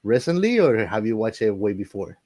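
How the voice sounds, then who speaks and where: A man's voice: fairly low pitch, big pitch swings, fairly quick, darkish tone. A man co-hosting a film podcast in casual discussion, speaking informally in short reactions and questions. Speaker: podcast co-host.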